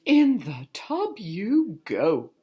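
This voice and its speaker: A woman's voice: mid-range voice, wildly swooping pitch, breathy edge, highs cut off, pitched above the performer's own voice, exaggerated voice. Woman acting a shrieking, bossy Mrs. Wishy-Washy. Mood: stern command. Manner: screaming, drawn-out scolding. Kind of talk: children's storybook read-aloud.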